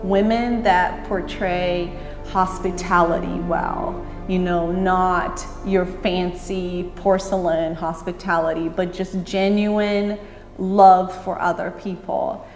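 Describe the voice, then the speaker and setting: A woman from Brazil, speaking candidly and lively in an interview, with bursts of speed. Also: fairly low pitch, slow, reverberant, in a large hall, faint music behind. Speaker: interviewee, runner.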